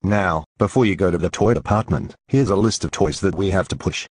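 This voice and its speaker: A man acts out a fussy department store manager for a radio play. The voice is very deep and husky, officious yet effusive. The recording is dry, with faint music. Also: a little fast, a little mellow, no echo. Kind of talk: old-time radio drama acting.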